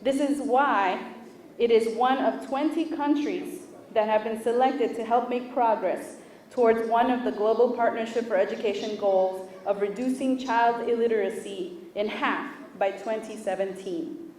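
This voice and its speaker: Native Spanish-speaking woman, official at a podium, formal and deliberate speech, distant and echoey hall sound. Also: fairly high pitch, darkish tone. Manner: measured, deliberate, pauses between list items. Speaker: official speaking at a book launch. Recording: echoey room picked up from a distance.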